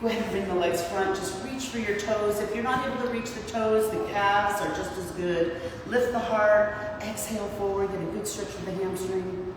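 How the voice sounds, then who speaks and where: Low husky woman in her sixties coaching a workout, counts reps, echoey gym, mic far off, background noise. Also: fairly even intonation, moderate pace. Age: 60s. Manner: encouraging, counts reps aloud. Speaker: fitness instructor. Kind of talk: guiding a workout class. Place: large gym.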